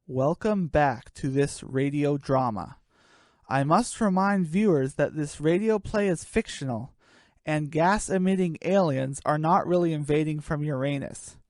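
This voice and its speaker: A woman's voice: deep voice, normal speed, a little mellow, no room sound, studio quality. A woman reading scripted narration for a radio play.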